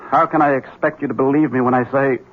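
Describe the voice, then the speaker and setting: An actor playing a precise, eerily earnest jealous husband, deliberate and halting, in a 1970s radio drama. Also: male voice, medium pitch, steady tone, natural voice. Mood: halting, grave. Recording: muffled, faintly noisy old radio recording.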